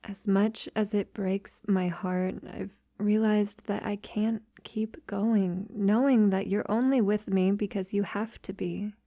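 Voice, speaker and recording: A refined, devoted female vampire, acted by a woman speaks slowly and intimately, often dropping to a whisper. Her voice is dark and velvety, recorded very close and dry. She addresses her lover with formal tenderness, in the style of a romantic ASMR audio roleplay. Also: mid-range voice, steady tone, no room sound. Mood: sorrowful, resigned. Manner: soft, slow, breathy delivery slipping into whispers.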